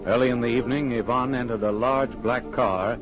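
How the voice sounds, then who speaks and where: An actor as the formal narrator of a 1940s radio spy thriller, telling the story in scripted style. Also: male voice, medium pitch, steady tone, brisk, over music, natural voice. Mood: suspenseful. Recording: dull old radio recording.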